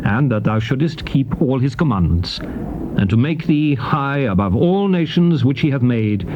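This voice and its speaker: A dull, clearly noisy cassette recording carries an actor playing a solemn male lawgiver. He declaims scripture in a measured, commanding manner, with a mid-pitched voice that rises and falls in lively sweeps. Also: natural voice. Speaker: scripture narrator. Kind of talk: dramatized King James Bible reading.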